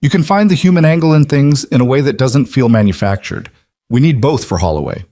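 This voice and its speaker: A brisk, confident businesswoman in her thirties , as a male narrator plays her, talks in clipped, matter-of-fact lines. She is blunt and focused, in a dry audiobook recording. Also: medium pitch, expressive, mellow, no room sound, pitched above the performer's own voice, natural voice.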